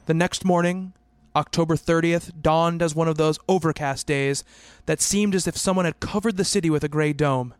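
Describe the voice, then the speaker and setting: Man acting as earnest higher-voiced psychiatrist narrator. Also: steady tone, moderate pace, few pauses, smooth, no echo, natural voice. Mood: measured, reflective. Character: earnest male psychiatrist narrating his own story. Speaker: narrator and lead actor in a radio play. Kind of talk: scripted radio play narration and dialogue.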